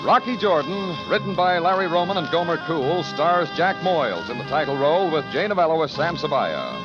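A man's voice: on the high side, expressive, a little fast. A man with an American accent making polished, emphatic scripted announcements in 1950s radio style. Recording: muffled old radio, music behind.

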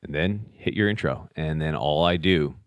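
A man who reviews firearms, talking in an animated, casual chat, swearing and walking through things step by step. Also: very deep voice, normal speed, no echo, studio quality. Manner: animated, swears, says "dude", explains step by step. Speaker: gun reviewer.

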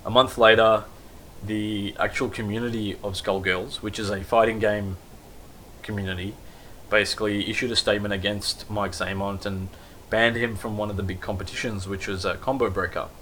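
A man's voice: lowish voice, steady tone, moderate pace, a bit bright, slight background noise, low-quality recording. A man giving a casual, rambling recap of news, with lots of basically and kind of.

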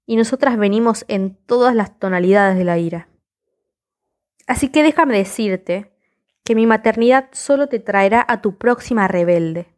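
A woman reciting spoken word poetry in a defiant, impassioned, rhythmic delivery. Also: mid-range voice.

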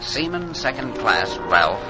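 A man acting as a solemn, formal narrator in 1940s radio style, measured and declamatory, on an old broadcast recording. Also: mid-range voice, very animated, over loud music. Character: solemn memorial narrator reading a roll of war cemeteries. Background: prominent musical score.

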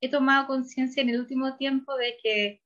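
A woman's voice: sits high, fairly even intonation, fairly quick, a bit bright, highs cut off. Thoughtful woman in her forties. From Chile. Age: 40s. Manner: thoughtful, self-correcting, frequent "como". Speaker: researcher guest. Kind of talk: conversational interview answers.

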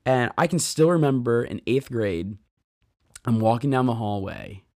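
Earnest man in his thirties, gravelly voice. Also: medium pitch, lively intonation, normal speed, darkish tone, no room sound, studio quality. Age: 30s. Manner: earnest, conversational, with personal stories; addresses listeners directly. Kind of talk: devotional podcast talk on scripture.

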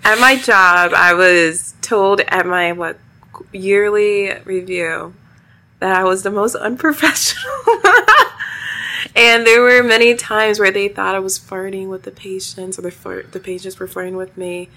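A young woman with a high voice, a podcast guest who chats freely, laughs often and trails off mid-thought. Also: unhurried, sharp tone. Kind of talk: casual podcast conversation.

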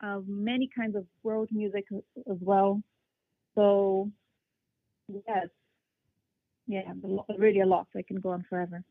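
A woman's voice: mid-range voice, level tone, unhurried, long pauses, dry recording. A young adult woman with a mixed international English accent, answering interview questions thoughtfully, with fillers and restarts. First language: English and Portuguese. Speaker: flautist guest. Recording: tinny phone-like line.

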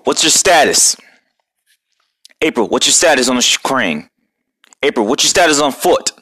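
A man voicing an earnest young male turtle ninja leader who talks low and hushed. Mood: commanding. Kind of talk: fan voice acting of a cartoon.

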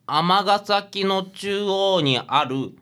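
A man's voice: high voice, lively intonation, very slow, sharp tone, clean tone, exaggerated voice. A man playing a timid, polite, hapless young trainee detective in a radio drama. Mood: doubtful.

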